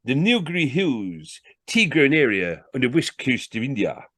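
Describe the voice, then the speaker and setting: A man's voice: medium pitch, big pitch swings, measured pace, a bit bright, dry recording, clean studio sound, voice pushed up. A man voicing a pompous, hungry salesman with solemn, patronising patter in a storybook reading. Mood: solemn salesmanship. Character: wheedling, solemn, hungry male encyclopedia salesman.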